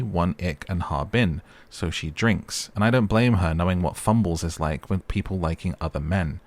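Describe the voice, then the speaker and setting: A man with a deep, low-pitched voice hosts a casual recap. He talks in a chatty way and slips wry asides into his scripted commentary. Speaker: host of a recap channel.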